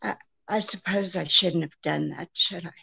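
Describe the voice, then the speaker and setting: An actress playing an anxious, genteel woman pleading for help in a 1940s-style radio drama, speaking haltingly. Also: fairly low pitch, vocal fry, pushed and bright, husky, heavily acted. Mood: worried. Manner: hesitant, halting, faltering.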